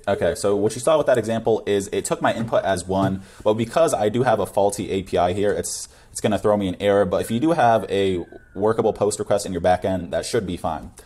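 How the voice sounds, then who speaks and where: Fast-talking young man, lowish voice. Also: darkish tone. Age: young adult. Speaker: tutorial presenter. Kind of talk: casual screencast coding tutorial.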